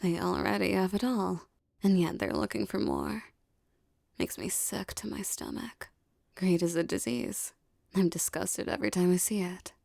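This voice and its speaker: Woman acting a creaky, mellow female mafia boss. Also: lowish voice, normal speed, no room sound, studio quality. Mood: intimate, serious. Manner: intimate, teasing, streetwise tough talk. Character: tough young female mafia boss, affectionate with her lover. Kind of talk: ASMR roleplay acting.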